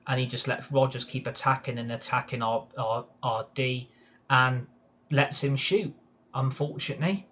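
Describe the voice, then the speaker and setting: A man with a mid-pitched voice hosts a live football reaction stream. He rants in a heated way and swears often. He keeps agreeing with comments from his live chat. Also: fairly even intonation, normal speed, sharp tone, a little hiss or hum. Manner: heated ranting with frequent swearing and repeated agreement. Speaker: football fan channel host. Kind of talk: livestream match reaction, reading live chat.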